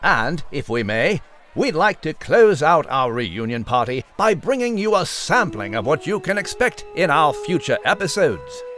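A man voicing an excitable little talking animal in an audiobook story. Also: on the high side, unhurried, soft background music. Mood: cheerful. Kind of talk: animated audiobook character acting.

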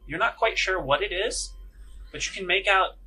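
A man's voice: high-pitched, normal speed, sharp tone, husky, poor audio. A man narrating a tabletop role-playing game as game master in a conversational, descriptive, improvised way.

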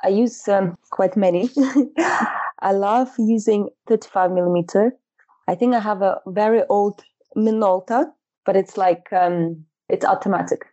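Mellow woman, hesitant. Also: medium pitch, a little slow, dull audio. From Moscow, Russia. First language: Russian. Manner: hesitant, many fillers and restarts, light laughs. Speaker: guest, fashion photographer. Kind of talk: casual interview chat.

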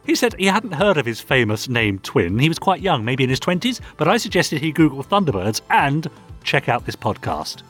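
A man's voice: medium pitch, very animated, moderate pace, fairly bright tone, faint music behind. A British man co-hosting a podcast, chatting casually and reading out listener messages in a teasing, chatty manner. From United Kingdom. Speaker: podcast co-host.

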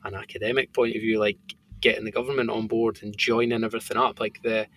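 Gravelly Scottish man, rambling chat. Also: lowish voice, flat delivery, quick pace, fairly bright tone. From Scotland. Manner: rambling, filler-heavy storytelling. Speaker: company founder and guest. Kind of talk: casual podcast chat.

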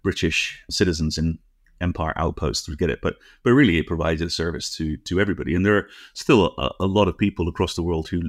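A man's voice: low voice, fast, no echo, studio quality. A man co-hosting a podcast, explaining technical things conversationally in a nerdy, precise, digressive way. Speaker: podcast co-host.

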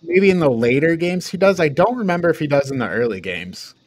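A man's voice: a little high, sing-song, fairly quick, a little hiss or hum. A man co-hosting a podcast, chatting casually about films and games. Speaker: podcast co-host.

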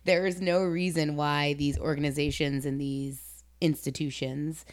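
Casting: female podcast host, low register, earnest and informal, conversational, dry sound. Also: flat delivery, measured pace, no echo. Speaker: podcast host and comedy performer. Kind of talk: casual conversational interview.

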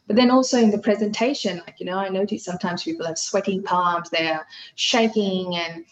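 A woman's voice: mid-range voice, a little fast, low-quality recording. A middle-aged woman from Fiji, whose first language is Hindi, chatting animatedly as a podcast guest with many fillers and restarts. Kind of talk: casual interview chat.

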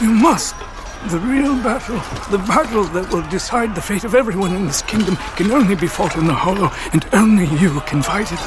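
An actor playing a gentle, self-effacing older lord chamberlain with formal, deferential speech in an audio drama. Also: male voice, high voice, brisk, lightly acted, poor audio. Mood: urgent. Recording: music behind.

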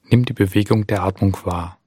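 A man guides a body scan meditation in a low, husky voice. He gives gentle, calm instructions and leaves long, unhurried pauses between them. The recording is dry and close, with no room sound. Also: no room sound.

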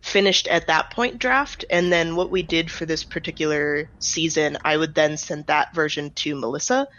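A woman's voice: deep voice, normal speed, fairly bright tone. A woman answering interview questions as a writer and director, explaining things step by step in a conversational way. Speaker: audio drama writer and director, guest. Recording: slightly dull, band-limited close recording.

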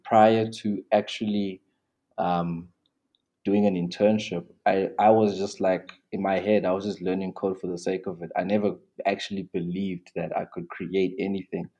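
A man's voice: low-pitched, flat delivery, moderate pace, mellow. A young South African man talking casually as an interview guest, rambling with many restarts and fillers.

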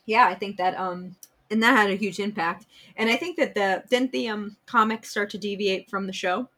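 A woman's voice: medium pitch, normal speed, sharp tone. A woman co-hosting a podcast, chatting casually and offering her opinions in an informal conversational style. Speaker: podcast co-host.

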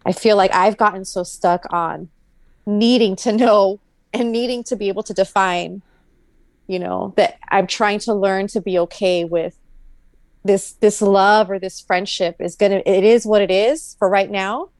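A woman with a medium-pitched voice chats casually and reflectively in a very dry recording. She sounds earnest and informal, thinking her way through each idea aloud. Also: steady tone, measured pace, no room sound.

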